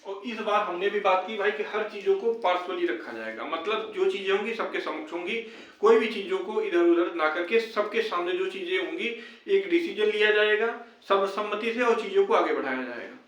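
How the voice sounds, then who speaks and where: Casting: young man, high voice, insistent explainer, recorded at a distance from the mic. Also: normal speed, a bit bright. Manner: repetitive, explanatory, urging. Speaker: video host addressing fellow job candidates. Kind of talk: informal update and appeal to viewers. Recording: very echoey room, distant microphone.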